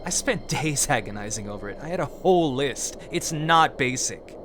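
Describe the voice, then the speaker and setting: An actor, a man, playing a brash, taunting young female rebel mech pilot in an audio drama, with a teasing, excitable delivery. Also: mid-range voice, moderate pace, noisy background, natural voice. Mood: indignant, sulky.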